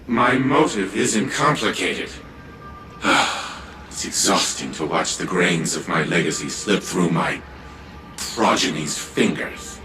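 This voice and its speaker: An actor playing an arrogant old scientist turned artificial mind in a video game, formal and condescending. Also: male voice, low voice, slow pace, poor audio. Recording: loud music under the voice.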